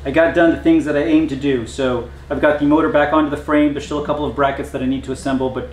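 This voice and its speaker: A man working on a motorcycle in his home garage, talking through the job in a relaxed, matter-of-fact way with frequent pauses. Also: a little high. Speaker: motorcycle builder vlogger. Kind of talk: casual workshop narration. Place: hot home garage with a fan running. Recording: echoey garage, faint fan noise. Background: fan noise.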